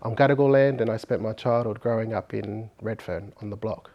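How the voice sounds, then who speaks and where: A man's voice: fairly low pitch, fairly quick, gravelly, muffled recording. A young man from New South Wales, a contemporary artist, talking earnestly and reflectively about his work, with frequent ums and uhs, recorded outdoors on a residential street. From New South Wales, Australia.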